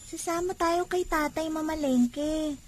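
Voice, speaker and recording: An actress playing a dutiful, bossy young eldest daughter in a Filipino-accented radio drama, coaxing and insistent. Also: female voice, sits high, steady tone, measured pace. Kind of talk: radio drama acting in Tagalog. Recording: noisy radio broadcast playback.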